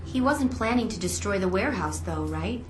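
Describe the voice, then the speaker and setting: A woman's voice: medium pitch, lively intonation, normal speed, natural voice. A woman playing a spy's concerned, inquisitive ally in a video game, talking in an earnest, questioning way. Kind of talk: video game cutscene dialogue. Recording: roomy sound with music behind.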